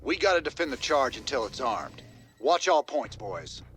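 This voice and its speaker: A man playing a stubborn, battle-hardened rebel commander in a video game, American, gruff and terse, giving blunt military orders. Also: medium pitch, very animated, moderate pace, a bit bright, a little hiss or hum. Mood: firm, guarded. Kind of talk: video game cutscene acting.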